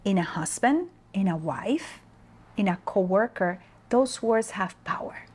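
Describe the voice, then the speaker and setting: Slow, thoughtful woman from the Dominican Republic. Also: medium pitch, animated, darkish tone, breathy edge. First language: Spanish. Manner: slow, thoughtful, pausing with fillers. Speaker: guest speaker on leadership. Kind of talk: interview conversation.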